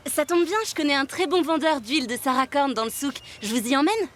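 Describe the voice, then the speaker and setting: A woman voicing a bright, friendly young girl in a French children's cartoon, with a spirited, expressive delivery. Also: high voice, brisk. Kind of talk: French animated children's series dubbing.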